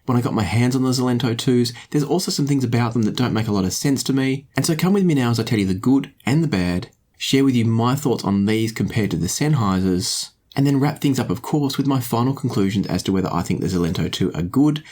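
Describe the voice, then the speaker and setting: A man reviewing audio gear to camera in a measured, conversational way, thinking aloud and inviting viewers to comment. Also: mid-range voice, expressive, a little mellow.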